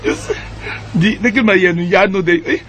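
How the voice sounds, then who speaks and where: A man's voice: sits high, wildly swooping pitch, slow pace, a bit bright, a little hiss or hum, exaggerated voice. A man playing a chatty, teasing, gossipy young friend in a Bisaya radio drama, with a Filipino Bisaya accent. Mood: teasing, excited.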